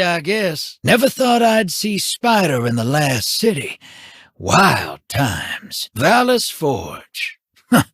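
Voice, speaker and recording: An actor voicing a wily, scruffy male gambler in a video game, drawling folksy wisecracks in a husky voice. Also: fairly high pitch, a bit bright, no echo, clean studio sound, heavily acted.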